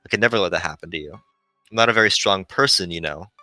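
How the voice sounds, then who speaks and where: A man voicing a gentle, melancholy female shuttle AI, earnestly explaining as it fades in a visual novel. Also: low voice, fast, no echo, soft background music. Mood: resigned, tender.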